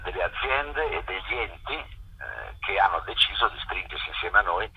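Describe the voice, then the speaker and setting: Low, husky man on the phone. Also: sing-song, normal speed. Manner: hesitant, repeats and restarts words while explaining. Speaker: festival curator and film producer, interview guest. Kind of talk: spontaneous phone interview on radio. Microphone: telephone. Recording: harsh, lo-fi telephone radio call.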